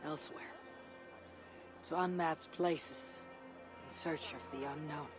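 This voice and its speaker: Game cast: actor playing a weary Viking wanderer, clipped and dry, low-key delivery. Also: steady tone, takes it slowly, music in the background, lightly acted. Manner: dry, terse, quiet. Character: weary Viking warrior bidding farewell. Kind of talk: video game cutscene acting.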